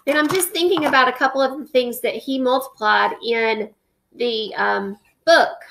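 A woman's voice: high-pitched, gravelly, a bit bright, a little hiss or hum, poor audio. A woman in her thirties, a teacher, reading a picture book aloud with expression and then explaining a math lesson, recorded at home on a webcam. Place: living room at home, indoors. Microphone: webcam at close range.

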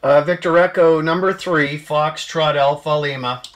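A man working as an amateur radio operator, spelling call signs in a clipped, deliberate, repetitive way. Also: on the high side, fairly even intonation, background noise. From Canada. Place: indoor radio desk, beside the transceiver.

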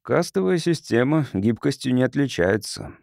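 A man's voice: mid-range voice, lively intonation, normal speed, creaky, a little mellow, dry recording, clean studio sound. A man voicing a courteous, curious visiting sociologist in an audiobook, polite and inquisitive. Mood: probing. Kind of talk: audiobook character reading.

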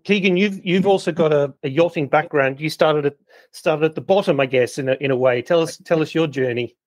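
A man's voice: on the high side, brisk, dry recording. A man hosting a podcast, introducing guests and interviewing them conversationally. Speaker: podcast host.

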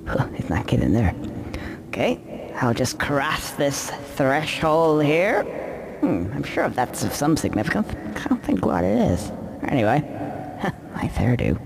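A cheeky squirrel from a cartoonish video game. The voice sits fairly high and jumps around in pitch, chatty and hesitant with a wry, flippant edge. The capture is noisy. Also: male voice, very animated, measured pace, gravelly, exaggerated voice. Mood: wry, casual. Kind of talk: video game cutscene acting.

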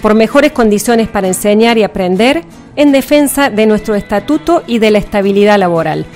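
A woman's voice: medium pitch, lively intonation, brisk, music in the background. A woman with an Argentine accent co-hosting a radio show with news reading and talk. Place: radio studio.

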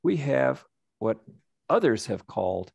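A middle-aged man, a nonprofit executive director, giving a reflective, measured story-led presentation over a video call. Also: medium pitch, very animated, vocal fry, a little mellow, breathy edge, dry recording, highs cut off. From United States.

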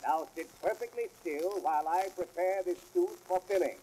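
An actor playing a polite, businesslike dentist with formal, precise phrasing in an early comic record sketch. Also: male voice, high voice, normal speed, warm tone, close to an everyday voice, low-quality recording. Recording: hissy old 78 rpm comedy record.

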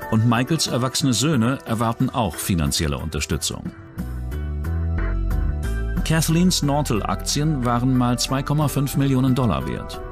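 A man reading scripted true-crime documentary narration in a measured, dramatic way. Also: mid-range voice, sing-song, over music.

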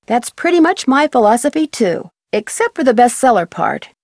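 A curious young American woman detective from a video game. Her voice sits a little high. She speaks politely, in a dry studio-clean recording. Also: sing-song, normal speed, a little mellow, no room sound. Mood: curious, friendly. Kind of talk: video game character dialogue.

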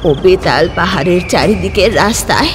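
Stern old village sorceress, voiced by a woman. Animated delivery, music bed under her. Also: lowish voice, moderate pace, natural voice. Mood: storytelling. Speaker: healer of the story. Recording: with loud background music.

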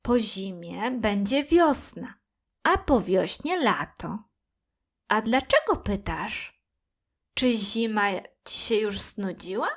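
Gentle, slow-speaking storybook mother voiced by a woman. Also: mid-range voice, expressive. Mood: patient. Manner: soothing, explanatory. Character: gentle, patient mother of young children. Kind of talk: children's audio fairy tale.

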